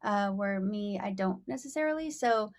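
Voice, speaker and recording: A young woman speaking as a guest in a casual interview. Her voice sits at a middle pitch, and she talks in a bubbly, easygoing way with lots of "like" and "you know." She comes across as an artist talking about her own work. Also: lively intonation, normal speed. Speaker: rapper and songwriter guest.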